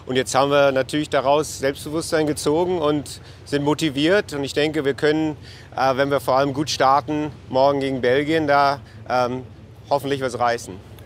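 A man's voice: medium pitch, level tone, normal speed. Hesitant male coach, faint street noise. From Berlin. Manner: hesitant, many fillers and restarts. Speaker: national basketball coach. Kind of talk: news interview.

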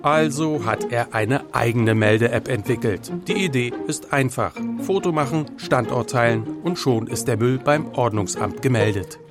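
A man narrating a television news report in a measured, even tone. His voice sits at a middle pitch, with a clear music bed playing beneath the narration. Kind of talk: scripted TV report voice-over.

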